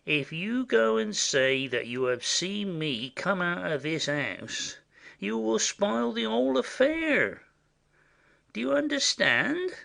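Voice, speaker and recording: A man playing a simple, indiscreet rustic messenger in a classic stage comedy, chatty and confiding in a secretive, halting way. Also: high voice, big pitch swings, creaky, pushed and bright, full character voice.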